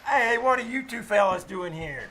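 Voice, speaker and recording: A man's voice: high-pitched, lively intonation, normal speed, bright, forceful tone. A man playing a bratty, befuddled adolescent delivery boy who stammers and talks slangily in a 1940s-style radio comedy. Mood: puzzled. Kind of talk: 1940s radio sitcom acting, live stage re-creation. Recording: noisy live theater stage.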